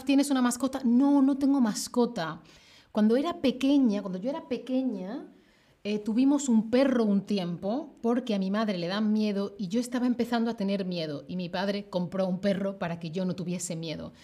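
A woman, teaching on a live stream and answering viewers' chat questions in a lively, expressive way. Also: a little high. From Spain, Seville. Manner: lively and expressive, slows down to spell out words clearly. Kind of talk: live-stream Spanish lesson, chatting with viewers.

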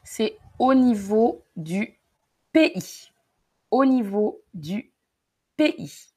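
Slow-speaking Parisian woman teacher. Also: on the high side, sing-song, a little mellow. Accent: Parisian French. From Paris region, France. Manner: slow, careful explanations, repeating key words. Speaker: French teacher streaming a lesson. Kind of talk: live-streamed language lesson.

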